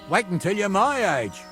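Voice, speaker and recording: An actor playing a gruff but kindly older medieval captain in a video game, with a gravelly voice. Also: male voice, high voice, pushed and bright, full character voice. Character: gruff older male medieval captain, hearty and begrudgingly kind. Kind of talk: video game drama acting.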